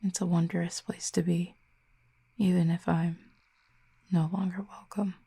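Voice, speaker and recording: A woman playing a gentle, wistful cupid exiled from her home, talking softly and slowly to the listener, often close to a whisper, in an audio roleplay. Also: lowish voice, flat delivery, creaky, breathy edge, full character voice. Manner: soft, hushed and slow, often near a whisper.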